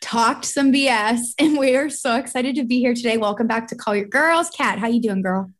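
Young woman, podcast co-host, bubbly, laughs a lot, light high voice, casual chat. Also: normal speed. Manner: bubbly, laughs often, says "like" and "dude" a lot.

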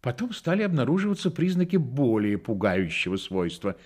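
An actor narrating a satirical radio play as a wry, theatrical storyteller, with weighty, drawn-out phrases. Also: male voice, mid-range voice, sing-song, darkish tone. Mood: ominous, wry.

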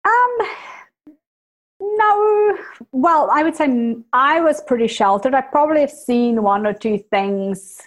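A woman with a South African accent softened by New Zealand, a native Afrikaans speaker, chatting candidly as a podcast guest and laughing at her own jokes. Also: high-pitched, lively intonation, takes it slowly. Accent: South African with New Zealand influence. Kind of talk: casual conversational storytelling.

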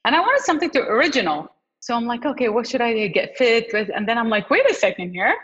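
A woman's voice: a little high, sing-song, fast talker, fairly bright tone, husky, highs cut off. A woman from Iraq and Canada, a fitness coach, chatting casually as a podcast guest and laughing often. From Iraq, then Canada. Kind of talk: casual interview answers.

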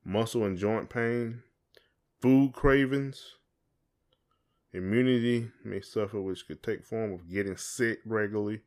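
Guy with a lowish voice just chatting on a podcast, real colloquial. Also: a little slow, darkish tone. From southern United States. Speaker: podcast co-host. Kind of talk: casual chat with spouse.

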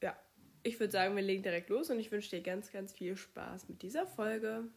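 A woman's voice: medium pitch, normal speed, gravelly. A young German woman hosting her podcast while she has a cold, her voice strained, chatting casually with many fillers. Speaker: podcast host. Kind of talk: casual solo podcast chat.

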